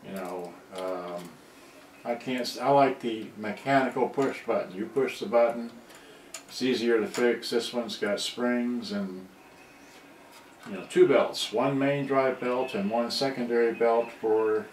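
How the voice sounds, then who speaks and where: A middle-aged man thinking aloud in a halting, rambling way during a casual live repair demonstration. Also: lowish voice. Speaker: hobbyist electronics repairer on a livestream. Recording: distant mic, echoey room, background noise.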